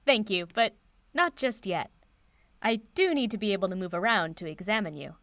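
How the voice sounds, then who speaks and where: Eminent older female doctor, audio drama. Composed, kind, wry; lively intonation, dry close sound. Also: mid-range voice, no room sound. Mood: courteous, professional. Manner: composed, measured, formal.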